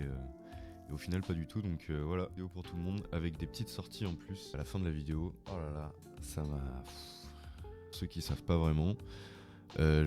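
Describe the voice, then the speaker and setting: Man, very deep voice, has a cold, rambling casual vlog talk, music behind. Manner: informal, rambling. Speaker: vlogger. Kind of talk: casual video tutorial.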